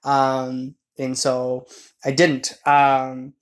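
A man telling a personal story in casual conversation, in a rambling, halting way, often correcting himself as he goes. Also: medium pitch, completely flat delivery.

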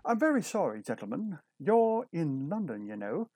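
Actor as proper, apologetic hotel servant, lively swooping pitch, hesitant, dry radio drama sound. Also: male voice, moderate pace, no echo. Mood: apologetic, remonstrating. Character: flustered, polite night hotel servant in London.

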